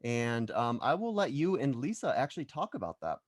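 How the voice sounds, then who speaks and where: A man's voice: mid-range voice, sing-song, brisk, no echo, studio quality. A man hosting a conversation show on a video call, upbeat and enthusiastic, with plenty of ums. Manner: upbeat, enthusiastic, with frequent fillers. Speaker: show host. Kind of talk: casual chat.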